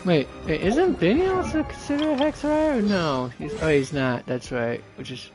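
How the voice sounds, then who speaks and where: Higher-pitched man streaming a video game. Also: very animated, moderate pace, over music. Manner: rambling, thinking aloud, addressing viewers as folks. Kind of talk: casual livestream game commentary.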